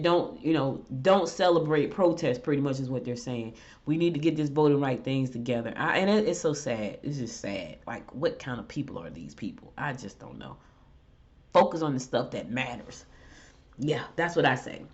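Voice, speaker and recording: A middle-aged woman with a stuffed-up head cold, hosting a morning show in a chatty, emphatic monologue. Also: fairly low pitch. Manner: chatty, emphatic, exasperated rants. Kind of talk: casual talk show monologue. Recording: dull lo-fi audio.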